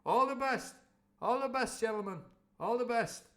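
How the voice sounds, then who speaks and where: A man's voice: very high-pitched, lively intonation, normal speed, fairly bright tone, pitched above the performer's own voice, full character voice. A man voicing a cheery, fawning one-legged sea cook who talks in a chummy, ingratiating way.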